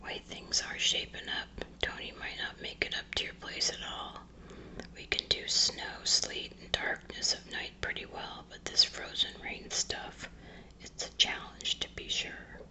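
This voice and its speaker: A matronly small-town postmaster , played by a woman reader, chats in a bright whisper. She is folksy, gossipy and full of teasing. It is storybook dialogue, all breath and no voice. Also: a little slow, rough recording. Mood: teasing, chatty.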